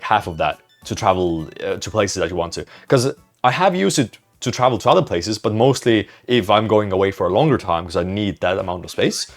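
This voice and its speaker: A young man answering viewer questions in a casual vlog, rambling, self-mocking and swearing offhandedly. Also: on the low side. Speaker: vlogger.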